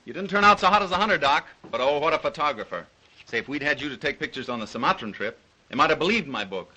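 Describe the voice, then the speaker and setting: An actor playing a confident young big game hunter in 1930s film style, breezy and self-assured. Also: male voice, fairly high pitch, expressive, fast, sharp tone, exaggerated voice, low-quality recording. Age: young adult.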